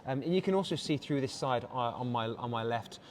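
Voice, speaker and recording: A man's voice: medium pitch, animated, fairly quick, a little hiss or hum. A man with an English accent, a brand director giving an enthusiastic, polished product walkthrough with filler words. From England. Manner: enthusiastic, polished salesman patter with frequent "uh" fillers. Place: busy watch showroom event.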